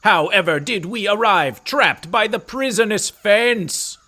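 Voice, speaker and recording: A bewildered, pompous creature from an audio drama. The voice is high and piercingly bright. It exclaims in florid, indignant, mangled old-fashioned phrases. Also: male voice, expressive, a little slow, exaggerated voice.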